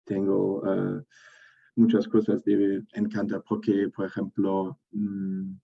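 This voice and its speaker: A young man from Germany, speaking as a guest in a casual interview, pausing often with fillers and restarts. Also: low-pitched, completely flat delivery, vocal fry, husky, dull audio. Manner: hesitant, many fillers and restarts.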